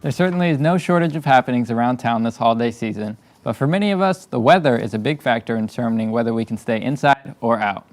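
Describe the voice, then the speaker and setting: A man's voice: mid-range voice, quick pace, few pauses, no echo. A man anchoring a student news show, reading scripted links.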